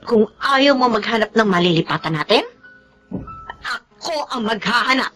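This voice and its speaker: A woman's voice: a little high, animated, normal speed, a bit bright, faint music behind. A woman playing a resentful middle-aged wife and mother in an emotional Filipino radio drama. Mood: weary, tearful. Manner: tearful, emotional complaining.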